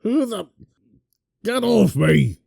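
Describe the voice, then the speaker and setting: A man voicing a blunt, exasperated dwarf who speaks slowly and bluntly. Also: high-pitched, very animated, vocal fry, mellow, pitched above the performer's own voice, heavily acted. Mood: startled shout. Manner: blunt and exasperated, drawn-out delivery.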